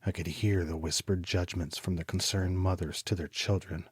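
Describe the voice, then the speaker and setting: An actor playing a troubled homicide detective, narrating his case in a deliberate, brooding, literary style for an audio drama. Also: sits low, fairly even intonation, breathy edge, no room sound. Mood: bitter, weary. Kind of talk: scripted first-person noir audio drama narration.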